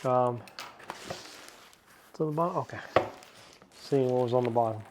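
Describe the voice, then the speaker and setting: A man chatting through a casual car-parts unboxing, excitable and rambling, still a little sniffly from a cold. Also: medium pitch, normal speed, noisy background. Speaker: car vlogger.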